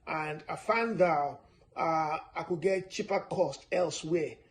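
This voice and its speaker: A man from Nigeria giving a steady, repetitive finance lesson, stressing his points with frequent "uh" fillers. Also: fairly high pitch, measured pace. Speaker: personal finance presenter. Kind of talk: instructional talk to camera.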